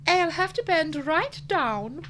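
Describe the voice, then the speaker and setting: A woman's voice: very high-pitched, animated, takes it slowly, fairly bright tone, a little hiss or hum, heavily acted. A woman acting a prim, fussy housewife in a spoof 1940s radio advert, fretful and gushing.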